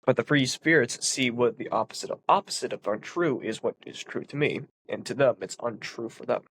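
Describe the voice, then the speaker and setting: A man's voice: mid-range voice, brisk, a bit bright, slightly rough, rough recording. A man with a congested, sniffly voice, reading a philosophy text aloud and explaining it in an earnest way with emphatic stresses. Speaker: philosophy commentator.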